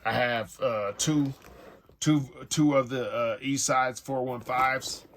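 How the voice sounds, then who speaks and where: An American man with a bright, punchy voice hosts a casual unboxing. He rambles conversationally in relaxed street slang, often checking in with his viewers. His pitch sits in the middle range, and there is noticeable noise behind him. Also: slow. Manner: rambling, slangy, conversational with repeated tag phrases. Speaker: music collector and online store owner hosting an unboxing. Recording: noticeable background noise with clicks.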